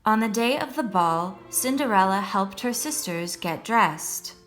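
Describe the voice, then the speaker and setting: A woman's voice: mid-range voice, animated, unhurried, a bit bright. Woman reading a fairy tale over music. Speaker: storyteller, first-grade teacher. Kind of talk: read-aloud children's storytelling. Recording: music behind the voice.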